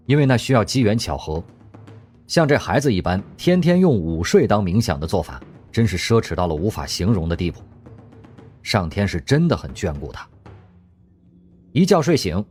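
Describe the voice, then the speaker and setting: A man narrating a radio drama in scripted storytelling style, expressive and lively in delivery. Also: on the low side.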